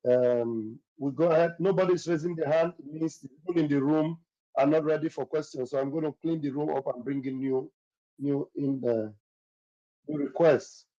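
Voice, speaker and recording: A man's voice: fairly high pitch, fairly even intonation, moderate pace, dark tone. A man moderating a live audio chat room, giving firm, repeated directions to the callers. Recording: lo-fi online audio stream.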